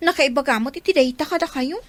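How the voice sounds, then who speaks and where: A woman's voice: sits high, quick pace, dry recording, close to an everyday voice. An actress playing a young schoolgirl daughter who is whiny and defensive, in an Ilocano radio drama. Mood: defensive, pleading.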